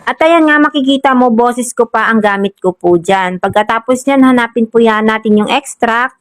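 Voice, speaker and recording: A Filipino woman with a fairly high voice talks viewers through steps in a casual, polite tutorial style. The sound is close and dry, and her delivery is plainly instructional. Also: moderate pace, no echo. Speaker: tutorial host. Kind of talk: casual step-by-step app tutorial.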